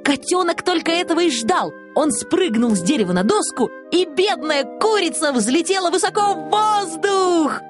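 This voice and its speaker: A woman narrating a children's audiobook in an expressive, scripted storytelling style. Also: very high-pitched, moderate pace, few pauses, music in the background.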